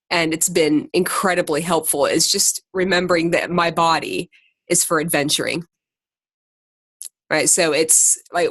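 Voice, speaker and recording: A woman with an American accent and a fairly low voice leads a group call in a dry, close sound. She talks casually and chattily, with an informal, self-deprecating streak as she motivates the group. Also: a bit bright, no room sound. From Ohio, United States. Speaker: secondary teacher and podcaster leading a group call. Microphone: conference call.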